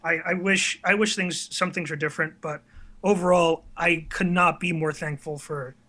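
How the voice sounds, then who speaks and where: A man in his late teens with a high, bright voice talks as a guest in a casual interview. He is candid and self-reflective, often hesitating as he works out what to say. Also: steady tone, moderate pace. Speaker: interview guest, online video creator. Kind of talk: casual chat.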